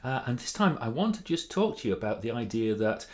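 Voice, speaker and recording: A man's voice: mid-range voice, sing-song, a little fast, darkish tone. A British man giving informal advice as a presentation coach, chatty and theatrical with emphatic delivery. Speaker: presentation coach hosting a video series. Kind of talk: informal to-camera advice talk.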